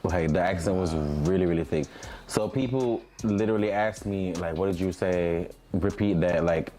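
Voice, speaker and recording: A man's voice: low-pitched, moderate pace, mellow. A man from Jamaica speaking as a podcast guest, telling personal stories in a candid, slangy and profane way. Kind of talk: candid casual storytelling interview.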